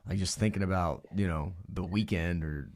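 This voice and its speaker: Laid-back male co-host, slightly hoarse, lower-pitched voice. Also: normal speed, over a noisy crowd. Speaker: podcast co-host. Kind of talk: casual podcast chat.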